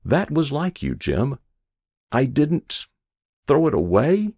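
A man voicing a gentle middle-aged wife at a sickbed, tender and reassuring, in a narrated story. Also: mid-range voice, big pitch swings, slow pace, slightly rough, no room sound, studio quality, voice pushed up, exaggerated voice. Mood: fond, nostalgic.

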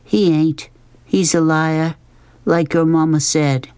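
A narrator voicing a quiet, sad Southern boy in a children's audiobook, soft and subdued, with a Southern US accent. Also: medium pitch, steady tone, measured pace, no echo, voice pushed up, close to an everyday voice. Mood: sad, certain.

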